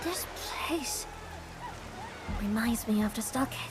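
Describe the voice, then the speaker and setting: A woman's voice: a little high, expressive, slow pace, background noise. A woman voicing a brash, feisty young feline girl in a video game, cheeky and blustering. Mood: uneasy. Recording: loud game music behind the voice.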